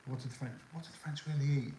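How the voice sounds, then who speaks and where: Older man with soft northwest English accent. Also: mid-range voice, steady tone, very fast, roomy sound, a little hiss or hum, poor audio. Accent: toned-down Northwest English (Scouse). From Liverpool area, England. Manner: rambling and chatty with many ums and restarts. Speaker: livestream host. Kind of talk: casual live chat, answering viewer questions.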